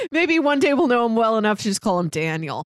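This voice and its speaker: A woman's voice: a little high, big pitch swings, a little fast, dry recording, clean studio sound. A woman co-hosting a podcast, casually discussing a movie in a chatty way and laughing easily as she talks. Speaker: podcast co-host.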